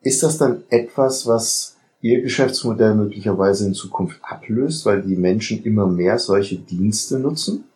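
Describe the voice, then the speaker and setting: Interviewer, measured questions, hesitant fillers, mid-pitched voice, faint background noise. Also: darkish tone.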